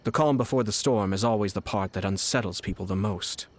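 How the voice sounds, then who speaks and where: A man voicing a poised, shrewd nobleman in a video game, measured, formal and self-assured. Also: lowish voice, lightly acted. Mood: calm, calculating. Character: poised, scheming young nobleman, calm and shrewd.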